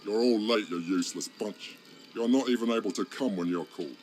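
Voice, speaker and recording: Dude doing a gruff old orc warlord, mocking, kinda low voice. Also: male voice, brisk, a little mellow, faint music behind, close to an everyday voice. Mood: scornful. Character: old one-eyed male orc warlord, brutal and scornful. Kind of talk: game cutscene voice acting.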